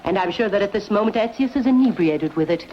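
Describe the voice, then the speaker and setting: A woman playing a shrewd, insinuating Roman noblewoman in a dubbed sword-and-sandal film, speaking in a measured, pointed way. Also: medium pitch, animated, highs cut off, a little hiss or hum, lightly acted. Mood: insinuating, cautioning.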